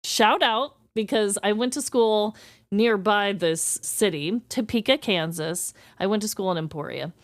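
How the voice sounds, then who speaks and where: A woman's voice: medium pitch, measured pace, no echo. A woman co-hosting a casual podcast from the Midwest, playfully reading out items and chatting. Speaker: podcast co-host. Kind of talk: casual chat, reading out a list.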